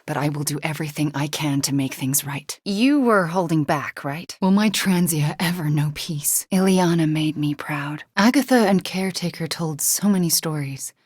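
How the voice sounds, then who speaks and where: A young superheroine sorceress for a video game. Her voice sits a little low and is soft and breathy. She sounds intimate and earnest, haunted yet wry, recorded very dry. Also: normal speed, few pauses, no echo. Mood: earnest, confiding.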